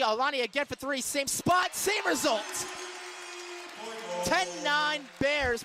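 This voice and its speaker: A young man doing live radio play-by-play of a basketball game in short, punchy, excitable phrases that can rise to shouts. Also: very high-pitched. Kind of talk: live sports commentary. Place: courtside in a college basketball arena. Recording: loud arena crowd and court noise. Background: crowd, bouncing basketball.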